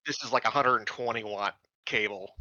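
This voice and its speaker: A man's voice: lowish voice, a little fast, muffled recording, crowd noise. A man playing a tabletop roleplaying game, chatting casually as he works through his options.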